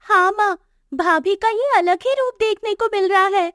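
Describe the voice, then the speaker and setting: Casting: sweet college-age girl, acted by a woman, very high pitch, polite storybook delivery, dry sound. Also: moderate pace, fairly bright tone, no room sound. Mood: concerned. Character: sweet young college-going sister-in-law, girlish. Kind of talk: acted moral story dialogue for animation.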